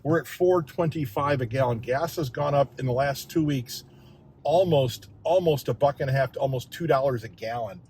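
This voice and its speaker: Hoarse man, Midwestern accent, chatty vlog host, faint hum behind, mid-pitched. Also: moderate pace, darkish tone. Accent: Midwestern American. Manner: chatty, talks prices aloud. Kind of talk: casual shopping vlog chat. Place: car, then grocery store aisles.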